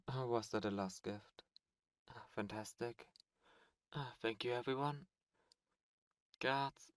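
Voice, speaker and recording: A man's voice: medium pitch, smooth, dry recording, clean studio sound, lightly acted. A man voicing a suave, cold male demon prince in an ASMR roleplay, speaking in a silky, condescending and menacing way. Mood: scornful. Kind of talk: ASMR roleplay audio drama.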